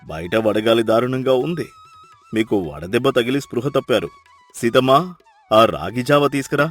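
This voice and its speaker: A man voicing a kind, contented poor villager with a gentle, caring manner in a cartoon moral story. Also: mid-range voice, lively intonation, normal speed, lightly acted. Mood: calm, kindly. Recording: music behind the voice. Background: music.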